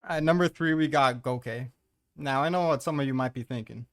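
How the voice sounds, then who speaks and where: A man's voice: medium pitch, normal speed, noisy background. A man giving casual, opinionated countdown commentary as host, blunt and exasperated, swearing freely as he rants. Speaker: host of a manga ranking commentary.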